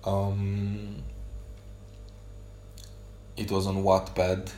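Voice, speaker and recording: A man whose first language is Romanian, talking unscripted on a solo podcast journal, hesitant with many pauses and fillers. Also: low-pitched, monotone, mellow, reverberant, slight background noise. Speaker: podcast host.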